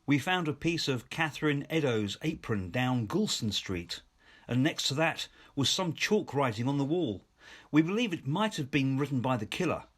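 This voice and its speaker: A dutiful Victorian police inspector in an audio drama. His mid-pitched voice is very varied in melody, and he reports in a polite, businesslike way. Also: very animated, normal speed, a bit bright. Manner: polite, matter-of-fact, reporting. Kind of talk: audio play acting.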